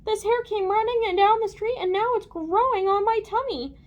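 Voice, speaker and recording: Woman putting on a frantic shrill lady. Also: moderate pace, highs cut off. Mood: distressed. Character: frantic shrieking lady in distress, melodramatic. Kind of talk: children's storybook character voice.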